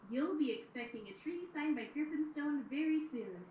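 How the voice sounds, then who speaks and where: A woman's voice: high voice, lively intonation, normal speed, warm tone. A woman voicing a young pony princess, an earnest, inquisitive and slightly awkward student in an audio drama. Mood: joyful. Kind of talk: fan audio drama acting. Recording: echoey, distant, faintly noisy playback.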